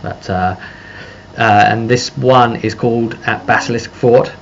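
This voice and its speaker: A man giving a casual, rambling recap as a game master, halting and circling back over his phrases. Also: mid-range voice, fairly even intonation, creaky. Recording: muffled lo-fi audio with background noise.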